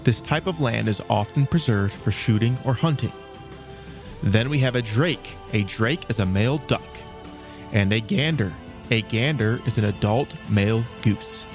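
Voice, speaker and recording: A man's voice: medium pitch, normal speed, music in the background. A man hosting and narrating a scripted children's storybook programme in a theatrical storytelling manner. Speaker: host and narrator.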